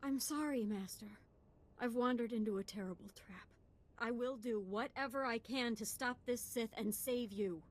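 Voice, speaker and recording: A woman performs a young female apprentice in a space fantasy game. Her voice sits somewhat high, and she speaks with earnest, troubled intensity and open emotion. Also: normal speed, natural voice. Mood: defiant. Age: young adult. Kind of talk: video game voice acting.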